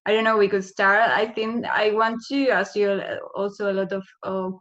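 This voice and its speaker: A woman's voice: mid-range voice, fairly even intonation, normal speed, fairly bright tone. A woman casually moderating a book club discussion over a video call, a little hesitant. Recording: slightly dull video call audio.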